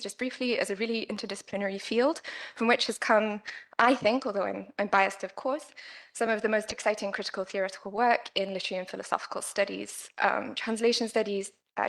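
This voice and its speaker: A woman presenting an academic paper at a conference, with a quiet voice and frequent "um"s. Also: medium pitch. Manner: quiet-voiced, careful with many fillers. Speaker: conference speaker. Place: conference room.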